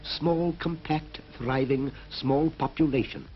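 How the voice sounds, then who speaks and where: A man's voice: mid-range voice, slow pace, close to an everyday voice. A British man narrating a 1950s educational cartoon in an instructive manner with clipped phrases. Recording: dull 1950s film soundtrack with music.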